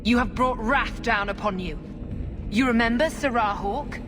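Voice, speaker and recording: A woman's voice: on the high side, moderate pace, over music, close to an everyday voice. A woman playing a scheming, self-righteous priestess in a video game, speaking formally and imperiously. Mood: stern accusation. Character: scheming, self-righteous chantry mother, haughty and commanding. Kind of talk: dramatic video game cutscene acting. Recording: noisy game ambience behind.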